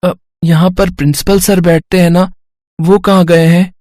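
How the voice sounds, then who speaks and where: Woman as an earnest, mellow-voiced schoolboy. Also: on the low side, little pitch movement, normal speed, no echo, studio quality, natural voice. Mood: puzzled. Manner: earnest, respectful. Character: eager, polite poor schoolboy devoted to his studies.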